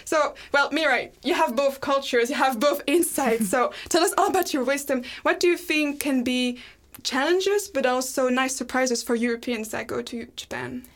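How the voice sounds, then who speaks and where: A woman's voice: high-pitched, moderate pace, fairly bright tone. A young woman hosting a casual talk show, chatty, with many fillers and restarts. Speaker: host.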